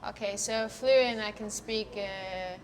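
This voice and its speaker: A woman's voice: mid-range voice, slow, slight background noise. A young woman whose first language is Dari, a soccer player talking earnestly and reflectively in a casual interview. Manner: earnest, reflective, speaks in loose thoughtful phrases with fillers.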